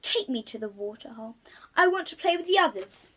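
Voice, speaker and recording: A woman's voice: a little high, big pitch swings, a little fast, a bit bright, slight background noise. A woman voicing a rich, lonely, childlike male crocodile in a children's storybook, with an eager, exclaiming delivery. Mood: wistful, pleading.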